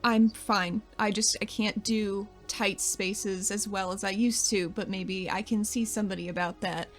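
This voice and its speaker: A woman's voice: mid-range voice, steady tone, measured pace, soft background music. A woman playing a tabletop roleplaying game, speaking informally as she describes and voices her character. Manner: conversational, informal. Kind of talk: casual roleplay and reactions.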